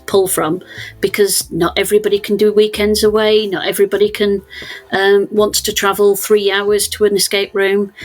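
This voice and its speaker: A woman on a discussion panel, telling stories in a lively, self-deprecating way. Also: mid-range voice, low-quality recording. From Scotland. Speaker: escape room business panelist.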